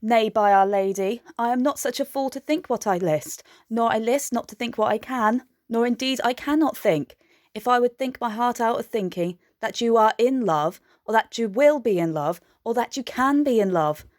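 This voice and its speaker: A woman plays a witty, teasing lady's maid in Shakespearean radio comedy. The voice is fairly high and playful, recorded very dry. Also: moderate pace, a little mellow, no echo, natural voice. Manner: playful, bantering. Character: saucy, teasing young lady's maid with quick wit.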